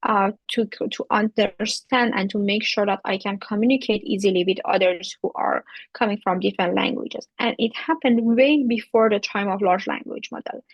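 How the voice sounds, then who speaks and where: A woman's voice: mid-range voice, dry recording, muffled recording. Woman, speaker at a tech talk, explanatory, dry close sound. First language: Persian. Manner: explanatory, with frequent fillers and restarts. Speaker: AI researcher giving a talk. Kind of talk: technical presentation.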